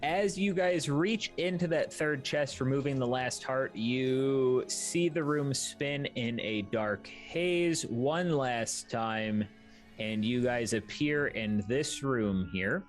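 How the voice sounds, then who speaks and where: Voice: medium pitch, slow pace. A game master narrating a tabletop fantasy adventure, describing scenes to the players. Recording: music behind the voice. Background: music.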